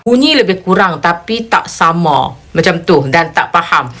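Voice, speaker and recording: A woman's voice: fairly low pitch, a bit bright. Southern Thai woman explaining plainly. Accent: Southern Thai Malay. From southern Thailand. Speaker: social media influencer. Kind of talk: casual explanation to camera.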